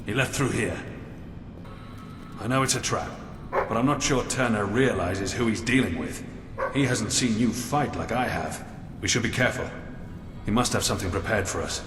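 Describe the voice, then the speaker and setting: A man playing a grim military prison officer in a video game drama, speaking in a measured, serious way. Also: fairly low pitch, background noise, close to an everyday voice. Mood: grave, urgent. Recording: echoey hall, distant, music underneath.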